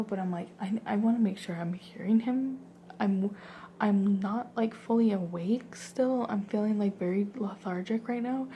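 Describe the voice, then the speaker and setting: A young woman whispering softly, rambling through a personal story with lots of fillers. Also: mid-range voice, a little hiss or hum.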